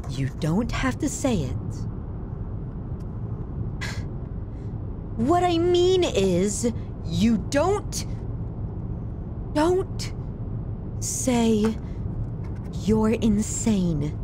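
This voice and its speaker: An unhinged, possessive young woman speaks straight to her captive darling in an intimate ASMR roleplay. Her high voice swoops widely, with drawn-out, theatrical phrasing that mixes sweetness and menace. A loud low rumble runs behind her. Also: sing-song, heavily acted. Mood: hurt, low. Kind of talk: intimate ASMR audio roleplay, addressing the listener. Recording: rumbling low background noise.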